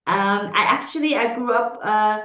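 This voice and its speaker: A woman's voice: mid-range voice, moderate pace, fairly bright tone. A woman in her forties from Vietnam, a native Vietnamese speaker, answering interview questions hesitantly with many fillers and restarts. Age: 40s. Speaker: podcast guest. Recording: roomy, dull lo-fi sound.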